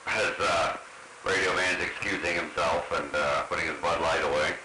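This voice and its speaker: A man with a lowish voice talks casually over CB radio. His voice comes through with marked echo. The transmission is extremely noisy. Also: little pitch movement, normal speed. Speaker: CB radio operator.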